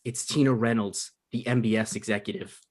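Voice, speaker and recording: A man's voice: on the low side, steady tone, brisk, darkish tone, breathy edge, pitched below the performer's own voice. A man reading the part of an awkward, evasive man in his mid-thirties bluffing through a date in a comedy table read. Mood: flustered.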